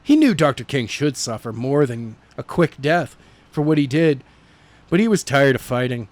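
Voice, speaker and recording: A man's voice: mid-range voice, very animated, moderate pace, no echo. A man reading scripted narration as an amateur audiobook narrator, a little unpolished, stumbling on the odd word.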